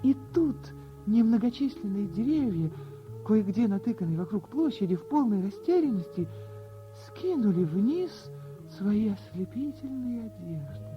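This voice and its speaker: A woman narrating a fairy tale for radio in a theatrical, expressive way, with dramatic pauses. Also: medium pitch. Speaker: storyteller, actor. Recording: dull radio recording with music behind. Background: music.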